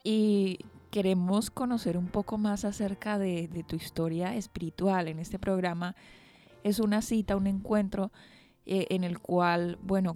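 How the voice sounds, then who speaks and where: A woman's voice: lowish voice, mellow. A woman hosting a radio interview program, speaking as presenter and interviewer. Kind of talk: interview with scripted introduction.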